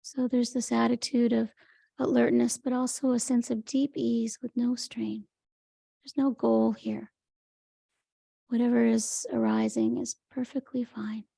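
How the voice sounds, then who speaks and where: Soft, creaky woman guiding meditation. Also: on the high side, flat delivery, no room sound. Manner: gentle, unhurried, soothing instruction. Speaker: meditation teacher. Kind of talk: guided meditation, Yoga Nidra.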